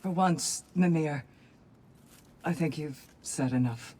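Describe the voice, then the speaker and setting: A deep-voiced, commanding warrior guide. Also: female voice, slow, darkish tone, husky, exaggerated voice. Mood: brisk, firm. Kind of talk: video game cutscene acting.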